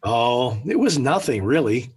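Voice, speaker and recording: Blustery American radio sitcom dad, husky. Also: male voice, mid-range voice, big pitch swings, unhurried. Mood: smug. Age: middle-aged. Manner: pompous, mock-military speechifying, emphatic. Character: smug scheming family father, blustery and theatrical. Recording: old 1940s radio recording.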